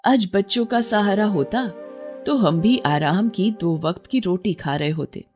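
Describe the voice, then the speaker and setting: A woman's voice: medium pitch, measured pace, darkish tone, soft background music, natural voice. Female VO: aging cartoon wife, firm and advising. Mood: weary. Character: poor aging fisherman's wife, steadfast and wise.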